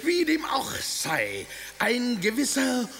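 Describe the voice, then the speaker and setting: An actor playing a bombastic flattering swindler in a radio drama, declaiming heroic legends haltingly with pompous, drawn-out words. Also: male voice, very high-pitched, vocal fry, slight background noise, full character voice. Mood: boastful, scornful. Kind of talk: theatrical radio drama acting.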